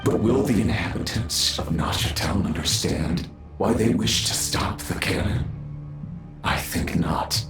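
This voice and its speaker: An actor voicing an arrogant, power-hungry undead villain in a video game, speaking theatrically with condescending pauses. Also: male voice, deep voice, expressive, gravelly, roomy sound, over music, exaggerated voice. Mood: smug, scornful. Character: menacing undead male villain, arrogant sinner craving power.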